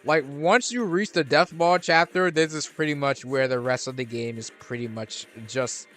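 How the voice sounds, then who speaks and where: A man's voice: fairly high pitch, moderate pace, a bit bright, faint music behind. A man hosting a gaming channel, rambling through casual gameplay commentary with lots of restarts and fillers. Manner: rambling, many restarts and fillers, laid-back.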